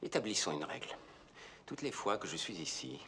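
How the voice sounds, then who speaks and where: An actor playing an irritable, controlling writer who speaks in clipped, condescending, emphatic phrases on a noisy film track. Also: male voice, on the low side, very animated, moderate pace, vocal fry, a bit bright, full character voice. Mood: seething, sarcastic. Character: irritable controlling male writer, bitterly sarcastic, simmering with rage.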